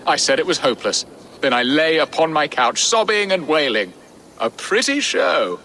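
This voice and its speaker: A man's voice: fairly high pitch, very animated, moderate pace, sharp tone, slight background noise, exaggerated voice. A man playing a theatrical, lovestruck Saxon lord, florid and playful, in a video game. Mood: jovial, affectionate. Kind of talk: video game cutscene acting.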